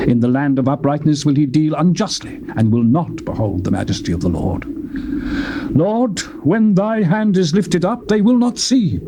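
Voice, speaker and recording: A man giving a solemn, declamatory dramatized scripture reading, his pitch sweeping high and low. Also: noisy background. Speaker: scripture reader.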